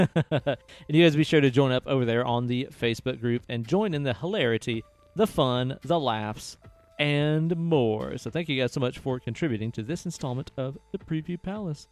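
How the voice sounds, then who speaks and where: A man with a quiet voice co-hosting a podcast, talking casually about a movie and swearing freely. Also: medium pitch. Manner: relaxed, swears casually, drawn-out emphasis. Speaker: podcast co-host.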